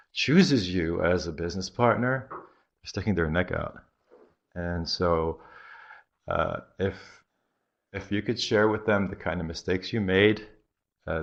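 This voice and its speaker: A man from Belgium with a slightly American-sounding accent, a marketing guest in an interview who thinks aloud with many pauses. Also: deep voice. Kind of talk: podcast interview. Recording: dull lo-fi audio.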